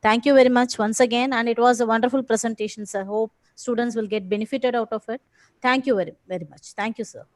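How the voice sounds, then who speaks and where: Coordinator, woman from India, earnest and formal, a touch high, dry close sound. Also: steady tone, fast talker, dry recording. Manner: earnest, repeats thanks. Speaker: event coordinator. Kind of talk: formal vote of thanks.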